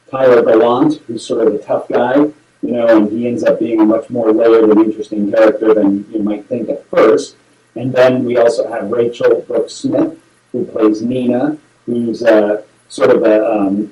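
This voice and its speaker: A man's voice: medium pitch, dark tone, rough recording. Casting: American male, middle-aged, enthusiastic guest in a casual promotional interview. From Massachusetts, USA. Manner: enthusiastic, effusive. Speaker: film producer, interview guest.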